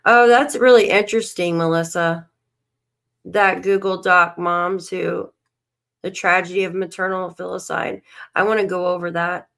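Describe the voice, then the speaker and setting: A woman's voice: fairly low pitch, fairly even intonation, normal speed, creaky, a bit bright. A tired woman hosting a casual livestream, reading documents aloud and muttering to herself as she searches, coughing and losing her voice. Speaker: livestream host.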